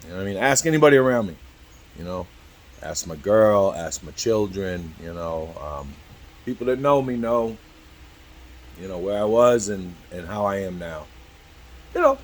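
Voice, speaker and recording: A man in his early fifties talking candidly to camera as a vlogger, halting and repeating "you know" constantly, swearing freely. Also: low voice, animated, slight background noise. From Cambridge. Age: 50s. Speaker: YouTube vlogger. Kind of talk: rambling candid talk to camera.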